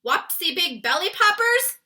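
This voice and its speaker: Storybook giant, played by a woman: excitable, friendly, very forceful, garbles words in folksy grammar. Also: very high-pitched, measured pace, faint music behind, pitched above the performer's own voice, heavily acted. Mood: puzzled, cheeky. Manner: exclaims in mangled, folksy grammar.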